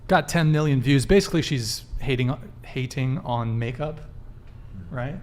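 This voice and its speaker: A man hosting a live podcast, running through announcements and promos in a brisk patter with fillers. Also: mid-range voice, a little mellow, slight background noise. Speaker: podcast host.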